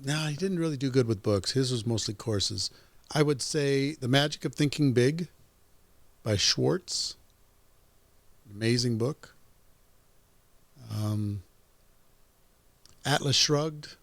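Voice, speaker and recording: A man in his fifties speaks with a gravelly, fry-laced voice as a podcast guest. He talks emphatically and pushes his points hard, recorded dry and up close. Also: mid-range voice, slow pace, long pauses, no echo. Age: 50s. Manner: emphatic, animated storyteller. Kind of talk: casual interview chat.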